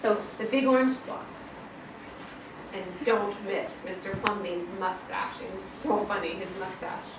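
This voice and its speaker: A woman primary school teacher reading a picture book aloud to a young class with expressive, emphatic storytelling. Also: medium pitch, unhurried. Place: indoor classroom, children present. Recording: echoey classroom from far off, some noise.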